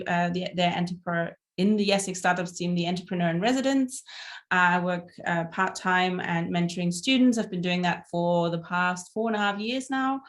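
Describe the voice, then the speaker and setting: A woman's voice: fairly low pitch, fairly bright tone. A woman from Germany with a German accent, hosting an online networking meeting in casual, chatty talk with many fillers and restarts over a video call. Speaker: meeting host.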